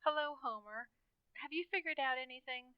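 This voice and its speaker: A woman's voice: high voice, a little fast, no room sound, natural voice. A woman playing a sweet, gushing teenage girl on the telephone in old-time radio comedy style, with a muffled sound. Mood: apologetic.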